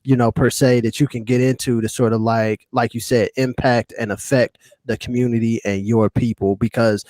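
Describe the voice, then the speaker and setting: A man's voice: mid-range voice, little pitch movement, moderate pace, few pauses, darkish tone, no echo. A man co-hosting a podcast, chatting casually in a slangy, colloquial way and jumping in with interjections. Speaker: podcast co-host. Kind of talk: casual panel chat.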